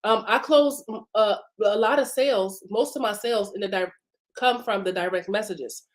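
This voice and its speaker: A woman's voice: mid-range voice, moderate pace. A woman business coach hosting a live broadcast, chatting informally with many fillers while congested from a cough and sore throat. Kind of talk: casual live-stream teaching.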